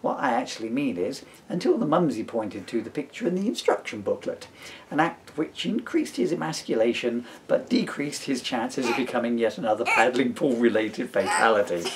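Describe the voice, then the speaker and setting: Middle-aged British dad, playful and digressive, mid-pitched, with some background noise on a camera mic. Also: male voice, lively intonation, normal speed. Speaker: father, author and stage performer. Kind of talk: casual chat and reading own comic book aloud.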